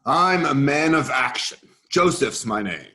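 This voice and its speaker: A man's voice: medium pitch, animated, a little slow, bright, forceful tone. A man in a staged play reading, playing a macho G.I. Joe soldier doll, cocky and protective, with tough-guy endearments. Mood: cocky, terse.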